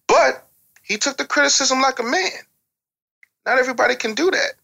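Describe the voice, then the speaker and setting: A man's voice: extremely high pitch, a little fast, gravelly, bright, forceful tone. A man speaking as a podcast guest, telling candid anecdotes in casual conversation and acting out the dialogue. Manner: candid storyteller who acts out dialogue.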